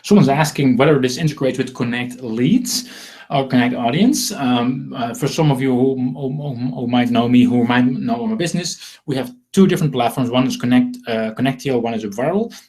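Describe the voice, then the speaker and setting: A man's voice: mid-range voice, normal speed, a little mellow. Rambling Dutch-accented man hosting a webinar. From Netherlands. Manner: rambling, repetitive, self-deprecating. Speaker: webinar host selling marketing software. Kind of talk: informal live sales webinar talk.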